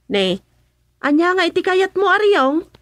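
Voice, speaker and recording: Female actor as concerned villager, Ilocano accent, radio comedy. High-pitched, questioning. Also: very animated, a little slow, a bit bright, full character voice.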